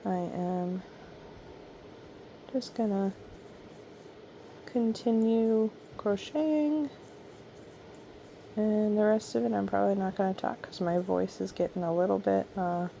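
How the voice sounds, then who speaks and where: A hoarse, raspy woman chatting casually and softly at home at night while she crochets, with ums and trailing pauses, recorded on a camera mic. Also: medium pitch, a little hiss or hum. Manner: soft, unhurried, trailing off with ums. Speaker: crochet vlogger.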